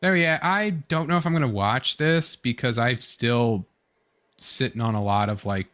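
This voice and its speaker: A young adult man hosting a casual online fan discussion, talking in a rambling, halting way with fragmented phrasing. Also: mid-range voice, fairly bright tone, clear, resonant tone. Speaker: online show host. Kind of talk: casual fan commentary, reading chat comments.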